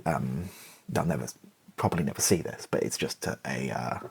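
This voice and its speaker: A man chatting in a relaxed ASMR style, rambling and self-deprecating with a wry touch, his voice husky and creaky. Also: rumbling low, moderate pace. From Britain. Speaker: ASMR YouTuber and playwright. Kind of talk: relaxed ASMR-style casual chat to camera.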